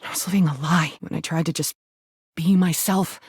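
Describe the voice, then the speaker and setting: A woman's voice: low voice, fairly even intonation, moderate pace, darkish tone, slightly rough, no room sound, clean studio sound, exaggerated voice. A woman voicing an angry, wounded female rubber duck in a video game, blunt and defensive but easily made vulnerable. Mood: wounded, bitter. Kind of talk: video game character acting.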